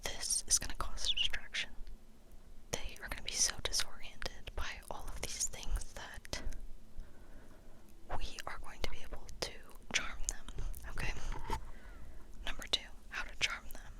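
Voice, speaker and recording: A woman's voice: a little slow, pauses often. A woman whispering breathily in a playful, mock-serious ASMR monologue, casual and rambling, often checking in with the listener. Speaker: ASMR video host.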